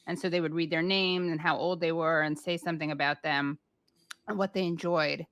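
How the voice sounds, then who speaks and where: A woman hosting an interview, asking questions in a casual, halting way with frequent restarts. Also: low-pitched, steady tone, fairly bright tone, dry recording. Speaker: interviewer, host.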